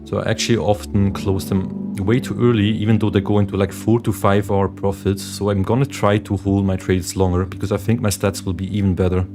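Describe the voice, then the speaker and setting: Man, young adult, from Switzerland, livestream host, casual chat, lowish voice, meditation music behind. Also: little pitch movement, brisk, hardly any pauses. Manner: chatty, addresses viewers as guys, reads chat aloud. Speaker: livestream host, day trader.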